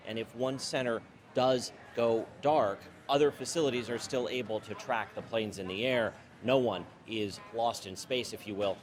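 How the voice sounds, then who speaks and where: A man with an American accent, working as a TV reporter and reading scripted news narration. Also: mid-range voice.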